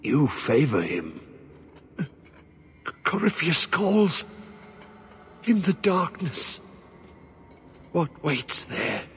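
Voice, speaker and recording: A halting, haunted old madman, very slow. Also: fairly high pitch, wildly swooping pitch, exaggerated voice. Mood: ominous, eerie. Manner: halting, fragmented, trailing speech. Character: ancient corrupted half-mad former warden commander, haunted. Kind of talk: video game cutscene acting. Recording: echoing cavernous reverb, background noise.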